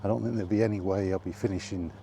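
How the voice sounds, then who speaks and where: A man's voice: deep voice, fairly even intonation, rapid-fire, warm tone, a little hiss or hum. A man chatting casually in a rambling, hesitant vlog style, thinking aloud as he goes. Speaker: gaming content creator and podcaster.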